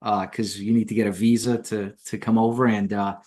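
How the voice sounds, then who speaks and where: A man's voice: lowish voice, little pitch movement, a little fast, a little mellow. A middle-aged man, a guest from Romania, talking frankly and easily on a remote call, often chuckling. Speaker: podcast guest, copywriter. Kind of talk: casual conversation.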